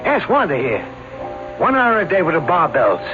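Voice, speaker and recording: An actor in a radio drama playing a boastful, stubborn aging escape artist who talks in brash slang. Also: male voice, a little high, huge pitch swings, normal speed. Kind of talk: old-time radio drama acting. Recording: dull radio recording, loud music.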